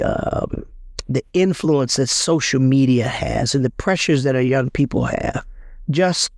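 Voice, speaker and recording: A man's voice: medium pitch, creaky, a little mellow, dry recording, studio quality. A man hosting a conversational interview, earnest and prone to repeating words as he starts a thought. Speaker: interview host, congressman.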